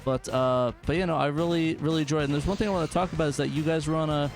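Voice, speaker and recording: A man's voice: fairly high pitch, steady tone, rapid-fire. A man hosting a casual game chat, talking in an informal, conversational way. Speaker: show host. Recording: music playing behind the voice. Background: music.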